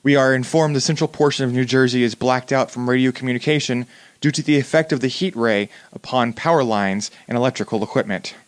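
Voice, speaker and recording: A young man acting as a grave male news announcer in a radio play, with formal, measured phrasing in the style of 1930s bulletins. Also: mid-range voice, no echo, close to an everyday voice. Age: young adult. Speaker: student actor in a radio play.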